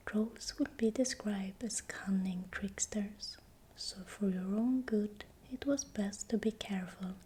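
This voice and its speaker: A woman narrating in ASMR style in a breathy, unvoiced whisper, speaking softly and deliberately. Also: medium pitch, slight background noise. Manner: slow, deliberate, repeats words softly. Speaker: ASMR creator narrating folklore.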